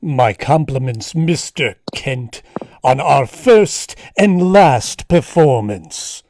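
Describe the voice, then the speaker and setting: An actor playing a menacing masked criminal mastermind in a 1940s-style radio serial, delivering gloating, theatrical threats. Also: male voice, medium pitch, expressive, takes it slowly, darkish tone, a little hiss or hum. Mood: gloating menace. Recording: live home-streamed radio play.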